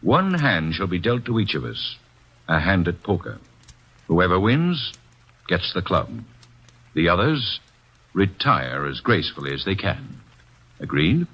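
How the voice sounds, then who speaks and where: A pompous, smooth club owner on old radio. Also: male voice, sits low, very animated, unhurried, pauses often. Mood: poised. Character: smooth, formal middle-aged club owner. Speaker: card game host. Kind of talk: 1950s radio drama acting. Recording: dull lo-fi old radio recording.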